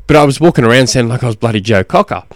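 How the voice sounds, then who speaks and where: A man hosting a casual solo podcast, slightly hoarse from a cold, rambling with many ums and restarts. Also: mid-range voice, lively intonation, rapid-fire, dry recording, clean studio sound. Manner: rambling, self-deprecating, lots of ums and restarts. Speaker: podcast host and cycling coach.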